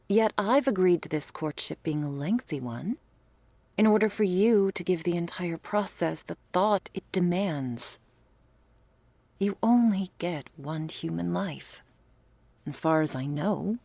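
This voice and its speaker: A woman playing a stern but devoted vampire lover in an ASMR roleplay, speaking in a formal, measured, affectionate way. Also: on the low side, warm tone, dry recording.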